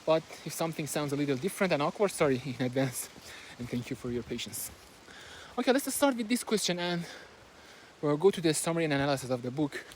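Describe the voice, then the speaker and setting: Man, Persian accent, slightly high voice, halting with lots of fillers, walking outdoors, faint outdoor hiss. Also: lively intonation, rough recording. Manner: hesitant, with many fillers and restarts. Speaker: book reviewer. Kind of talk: casual book summary while walking. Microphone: handheld phone, no fancy equipment.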